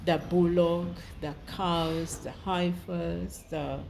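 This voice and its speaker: Middle-aged woman, primary teacher, low-ish voice, very slow and patient, faint noise in the background. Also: steady tone, creaky, a little mellow. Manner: patient, repeats words and spells them out. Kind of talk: slow children's lesson.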